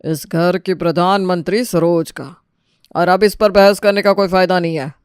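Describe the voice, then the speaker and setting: A man voicing a bossy, sarcastic middle-aged husband and father who nags in an animated family story. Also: sits high, moderate pace, clear, resonant tone, no room sound, studio quality, lightly acted. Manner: sarcastic, nagging.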